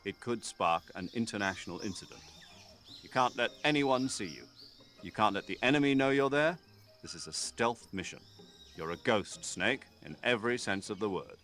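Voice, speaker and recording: Measured, authoritative military commander. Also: male voice, fairly low pitch, lively intonation, fairly bright tone. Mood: stern, instructive. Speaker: mission commander. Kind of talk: scripted video game radio briefing.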